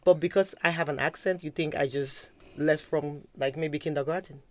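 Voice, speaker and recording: A man's voice: on the high side, fairly even intonation, moderate pace, fairly bright tone, no room sound. A man on a panel speaking emphatically and acting out what others said to him. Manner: emphatic, acts out quotes. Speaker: panel guest.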